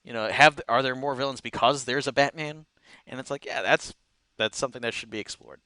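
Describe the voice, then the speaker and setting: A man co-hosting a casual chat, recapping episodes in an animated storytelling way. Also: medium pitch, a little fast, no room sound, clean studio sound. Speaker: co-host reviewing cartoon episodes.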